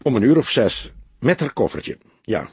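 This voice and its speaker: A man's voice: medium pitch, very animated, moderate pace, a little mellow, husky, dry recording, heavily acted. A man voicing a young unmarried mother, upset, defensive and bitter about men, in an audiobook. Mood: subdued, explaining. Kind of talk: audiobook character dialogue.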